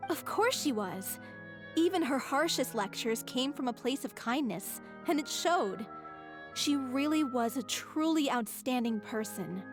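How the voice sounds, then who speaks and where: A woman voicing a gentle female troupe member speaking fondly of her late director. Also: high-pitched, animated, unhurried, music in the background. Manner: fond, gentle.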